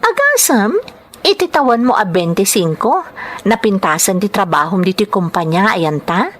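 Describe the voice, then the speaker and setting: A woman acting as a fond, chatty mother in an Ilocano radio-style drama. She teases and praises her grown son with warm, motherly affection. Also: medium pitch, very animated, moderate pace. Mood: teasing, proud.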